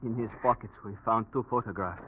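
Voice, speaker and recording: Actor as grave, low-voiced pilot, muffled radio. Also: male voice, natural voice. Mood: urgent. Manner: halting, earnest, insistent. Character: grave, loyal Latin male pilot, wounded. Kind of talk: 1940s radio drama acting. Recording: muffled, hissy old radio recording.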